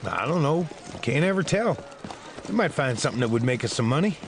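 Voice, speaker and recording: An actor playing a calm, level-headed Texan gunslinger, dry and understated, in a dramatized Western audiobook. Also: male voice, mid-range voice, fairly quick, background noise. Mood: easygoing, practical.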